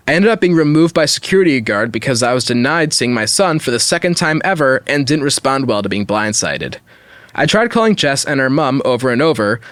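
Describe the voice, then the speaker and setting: A man's voice: mid-range voice, a bit bright, dry recording. A man hosting a podcast, reading an online story aloud in a casual way with wry, jokey asides. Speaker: podcast host.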